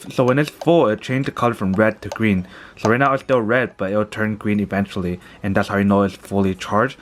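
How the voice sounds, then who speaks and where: Fast-talking man reviewing a gadget. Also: fairly low pitch, steady tone, a little hiss or hum. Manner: chatty, keeps addressing viewers as "you guys". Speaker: product reviewer. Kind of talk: casual unboxing and review talk.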